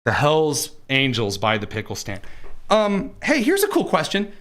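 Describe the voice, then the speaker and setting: Male host from Indiana, sarcastic and mocking, with a high, lively voice. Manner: mocking, sarcastic, quick asides. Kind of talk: sarcastic political commentary.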